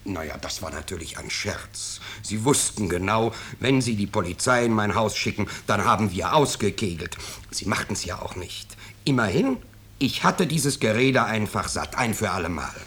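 An actor playing a smug, anxious middle-aged businessman in a 1950s radio drama, husky and insistently defending himself. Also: fairly low pitch, animated, normal speed, a bit bright. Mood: defensive, blustering. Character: smug, self-justifying, anxious bourgeois businessman.

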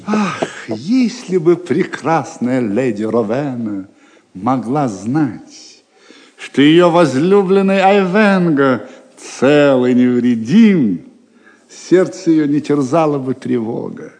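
A man's voice: medium pitch, animated, slow, darkish tone. A man narrating an audio drama as a theatrical, confiding storyteller. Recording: long reverb on the voice.

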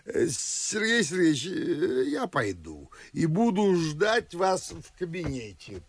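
An actor playing a fretful, hemming older gentleman with a husky, gravelly voice in a verse radio drama. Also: on the high side, huge pitch swings, slow, full character voice. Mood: flustered. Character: fretful, fussy older gentleman, anxious to please.

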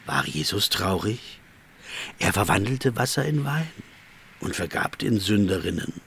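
An actor in a radio drama playing a shrunken, sly tempter who poses as a former pupil, speaking with insinuating, reproachful persuasion. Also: male voice, on the low side, very animated, a little slow, a bit bright, a little hiss or hum, full character voice. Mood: mocking, accusing. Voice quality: husky.

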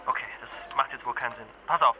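An actor playing a worried, protective husband, speaking earnestly and soothingly with an occasional stammer in a naturalistic radio drama phone call. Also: male voice, medium pitch, steady tone, fast talker, close to an everyday voice. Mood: worried, exasperated. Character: worried, protective husband, patient but anxious. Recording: noisy ambience with vehicle sounds.

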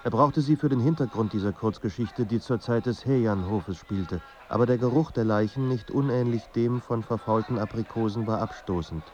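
Low, dark-voiced brooding narrator played by a man. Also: normal speed, hardly any pauses, muffled recording, lightly acted. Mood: grave, brooding. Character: literary narrator of a troubled young writer's life, grave and brooding. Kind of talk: literary radio drama narration.

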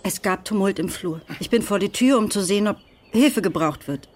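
A woman's voice: mid-range voice, normal speed, lightly acted. A woman playing a determined young police officer in a radio drama, controlled and firm. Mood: controlled, defiant. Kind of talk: German radio drama acting.

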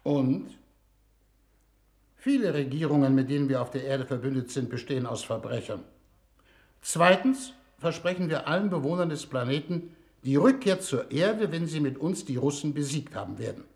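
A man's voice: fairly high pitch, lively intonation, moderate pace, darkish tone. An actor in a German-language 1960s radio drama playing a shrewd, commanding statesman who speaks formally and persuasively. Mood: calculating, insistent. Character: shrewd, smooth-talking male statesman leading a Venus mission.

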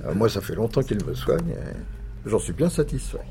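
Gravelly chatty patient. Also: male voice, on the low side, very animated, moderate pace, warm tone, a little hiss or hum. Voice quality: chatty, folksy. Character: talkative older male patient in waiting room. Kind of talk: radio drama acting.

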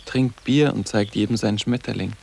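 A man's voice: lowish voice, normal speed, creaky, a little mellow, slight background noise. An actor playing a sarcastic, detached teenage boarding-school boy in a radio play, with terse, ironic and poetic phrasing. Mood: wry, musing. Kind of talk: 1980s German radio play acting.

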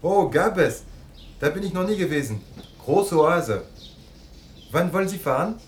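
An eager, slippery hotel servant in a radio drama. His voice is fairly high, servile and evasive. Birds chirp faintly in the background. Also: animated, measured pace, slight background noise. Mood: eager, obliging. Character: obliging, evasive male hotel servant in North Africa. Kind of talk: German radio play acting.

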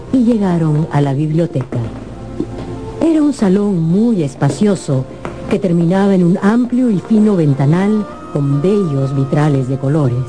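A woman narrating a scripted radio drama as an expressive, theatrical storyteller. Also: fairly low pitch.

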